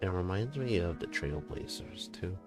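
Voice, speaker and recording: A woman's voice: rumbling low, animated, normal speed. A woman streamer making playful, jokey asides while playing a game. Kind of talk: casual gaming commentary. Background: game music.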